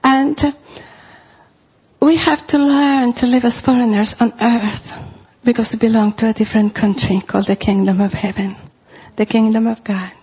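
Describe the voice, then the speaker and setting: A woman's voice: on the high side, fairly even intonation, normal speed, darkish tone, husky, dull audio. A middle-aged woman from Costa Rica with a Spanish accent, preaching a sermon in an earnest way and repeating phrases for emphasis.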